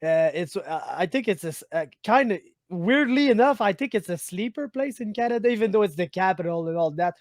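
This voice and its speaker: A man's voice: sits high, lively intonation, a little fast, hardly any pauses, dry recording, clean studio sound. A man from Quebec with a Quebec French accent, hosting a casual interview, chatty and friendly. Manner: friendly, chatty, says "my man", rambling questions. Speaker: interviewer, host.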